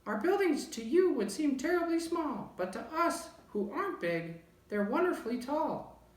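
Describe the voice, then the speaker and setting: A man's voice: extremely high pitch, very animated, normal speed, pitched above the performer's own voice. A man voicing a tiny, earnest, grateful storybook mayor with a thin piping voice. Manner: polite, grateful. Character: tiny earnest mayor of a speck-sized town. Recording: roomy, distant microphone.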